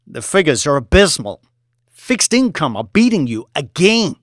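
A man voicing a volatile, bullying young finance boss who shouts and swears at his staff. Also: a little high, sing-song, normal speed, no room sound, clean studio sound, voice pushed up. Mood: raging. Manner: shouting, swearing, aggressive New York street talk.

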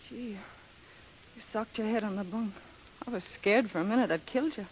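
A woman's voice: medium pitch, moderate pace, natural voice, rough recording. An actress playing a blunt, slangy American young woman, a defiant captain's daughter in a 1930s radio drama. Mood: alarmed. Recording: hissy 1930s radio broadcast.

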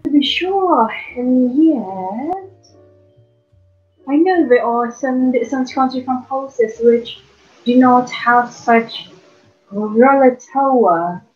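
A woman's voice: sits high, slow. A young woman playing a probing, dismissive male county attorney in an amateur student drama, with hesitant delivery. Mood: condescending. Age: young adult. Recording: muffled band-limited recording, music behind.

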